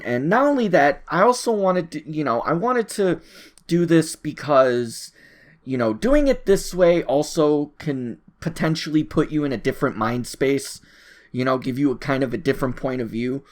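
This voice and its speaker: A man giving a casual, rambling solo audio log, thinking aloud in a halting, informal way and often repeating his phrases. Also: mid-range voice, lively intonation.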